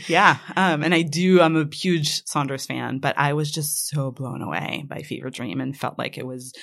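A woman's voice: low-pitched, steady tone, moderate pace, vocal fry, a bit bright. A woman whose first language is Hebrew, a jet-lagged author talking in a thoughtful, hesitant way with many fillers. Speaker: author guest. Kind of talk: casual interview conversation.

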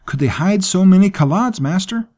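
A man's voice: high-pitched, lively intonation, normal speed, warm tone, pitched above the performer's own voice. A man voicing a frantic, deferential young male servant who gives breathless, urgent reports to his master. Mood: alarmed.

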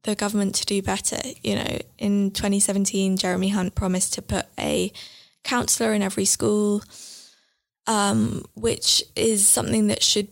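A woman's voice: mid-range voice, level tone, vocal fry. A young woman talking earnestly as a guest author in a casual chat, with words running on and plenty of "like" and "you know". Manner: earnest, fast-flowing, lots of "like" and "you know".

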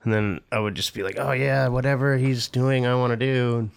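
A man's voice: mid-range voice, steady tone, normal speed, vocal fry, smooth. A middle-aged man from Southern California, a former pro skater as podcast guest, telling stories in a rambling, laid-back way with lots of fillers and swearing. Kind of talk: casual interview chat.

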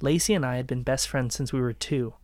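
A man acting a vengeful, obsessive young captor in a dark dramatic podcast reading, speaking in an accusing, deliberate way. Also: medium pitch, no room sound, natural voice.

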